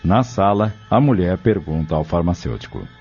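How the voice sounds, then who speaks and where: Male narrator, Brazilian, for scripted audio drama: mellow, lowish voice, slightly dull recording. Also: big pitch swings, moderate pace, breathy edge. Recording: dull recording with faint music.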